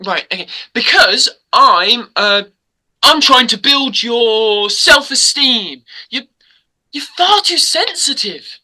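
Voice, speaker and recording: Girl playing cocky teen guy, really sharp bright voice, slow halting delivery. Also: a little high, animated, full character voice, poor audio. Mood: mocking, impatient. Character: cocky, dismissive teenage boy. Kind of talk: table read of a film script.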